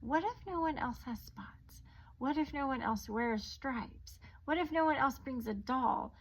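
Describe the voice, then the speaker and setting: A woman's voice: high voice, expressive, measured pace, voice pushed up, full character voice, rough recording. A woman voicing an anxious little girl from a storybook, asking things in a plaintive, fretful way. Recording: noticeable background noise.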